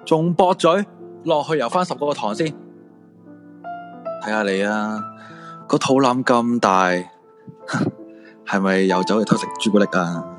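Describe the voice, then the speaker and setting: An actor plays a bossy, flirty swim coach in a Hong Kong Cantonese radio play. His voice sits mid-range, with music clearly playing behind it. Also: mid-range voice, animated, measured pace, long pauses, lightly acted. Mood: teasing, scolding.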